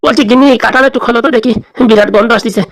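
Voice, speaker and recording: A woman voicing a gruff, greedy old village father-in-law in a comedy cartoon, with a Pabna Bengali accent and grumbling delivery. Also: on the high side, racing, no room sound, pitched below the performer's own voice, lightly acted. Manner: grumbling, teasing. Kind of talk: rural comedy cartoon skit.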